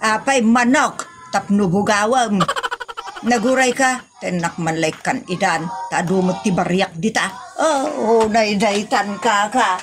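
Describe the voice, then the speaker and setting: A woman's voice: medium pitch, measured pace, music in the background, lightly acted. A woman playing a scolding, exclamatory wife with an Ilocano accent in a comedy audio drama.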